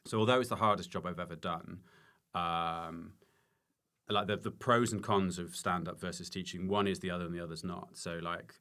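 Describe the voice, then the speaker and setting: A man talking casually as an interview guest, halting and self-correcting, repeating words for emphasis. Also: low voice, fairly even intonation, sharp tone. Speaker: podcast guest, stand-up comedian and former teacher.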